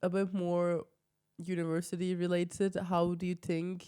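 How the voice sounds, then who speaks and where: A young woman from Turkey speaks in a slightly low register as a podcast co-host. Her delivery is casual and animated, with a giggly way of telling a story. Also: measured pace, clean tone. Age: young adult. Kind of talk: casual chat.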